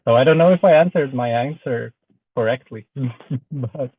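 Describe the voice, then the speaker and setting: Man, Lithuanian accent, mid-pitched, hesitant, tinny phone-like call audio. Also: moderate pace. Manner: hesitant, many filler sounds. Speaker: guest artist. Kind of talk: casual chat.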